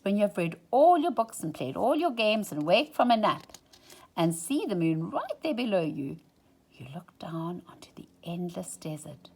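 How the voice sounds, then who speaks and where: A woman reading a children's story aloud in an expressive way, with dramatic pauses and hushed phrases for effect. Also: fairly low pitch.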